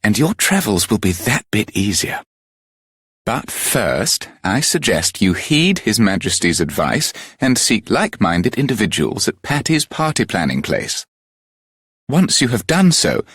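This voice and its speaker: A man voicing a formal, courteous royal adviser in a video game, speaking in old-fashioned, measured phrases. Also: medium pitch, a bit bright, slightly rough, no echo, studio quality, full character voice. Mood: helpful, formal.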